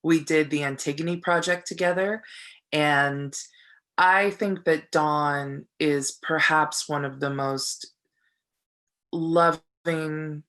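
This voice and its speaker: An actor speaking earnestly and thoughtfully in a reflective interview, building thoughts in measured phrases. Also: mid-range voice, fairly even intonation, fairly bright tone. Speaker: stage actor.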